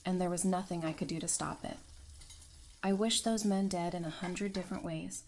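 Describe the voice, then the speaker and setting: A woman's voice: lowish voice, a little mellow, natural voice. A woman playing a composed, candid psychiatrist in an audio drama, speaking thoughtfully.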